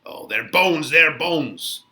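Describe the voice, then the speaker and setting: A man's voice: on the high side, expressive, slow, pushed and bright, full character voice. A man playing a mocking, theatrical young Elizabethan wit in an exaggerated dramatic Shakespeare reading. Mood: scornful ranting. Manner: theatrical, exaggerated, swooping delivery.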